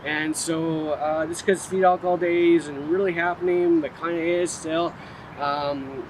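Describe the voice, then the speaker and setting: A man with a somewhat high voice talks earnestly to the camera, stumbling through fillers as he makes his point. He is recorded outdoors, with steady traffic and street noise behind him. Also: measured pace, rough recording. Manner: plain, earnest, many fillers and restarts. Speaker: motivational speaker, host. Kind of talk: casual heartfelt talk to camera.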